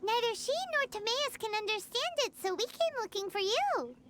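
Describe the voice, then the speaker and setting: A woman voicing a small, childlike, cheeky and excitable fairy companion in an anime video game. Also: very high-pitched, normal speed, noisy background. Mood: chatty. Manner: speaks of self in third person, exclaims eagerly. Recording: music playing behind the voice.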